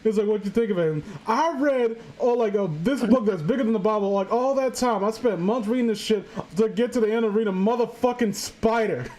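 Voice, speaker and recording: A woman's voice: on the high side, fairly quick, hardly any pauses, mellow. A woman hosting a casual comedic reading, joking crudely and irreverently as she riffs with friends. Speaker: host of a story-reading show.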